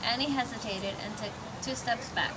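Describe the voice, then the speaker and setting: A woman's voice: on the high side, moderate pace. A woman narrator reading a scripted children's story aloud. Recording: very noisy amateur recording.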